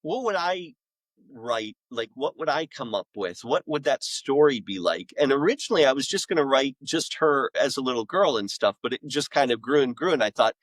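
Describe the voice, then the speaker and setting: A man's voice: mid-range voice, animated, moderate pace, dry recording, low-quality recording. Middle-aged man, mid-range voice, podcast guest. Candid, self-effacing, conversational, recorded dry.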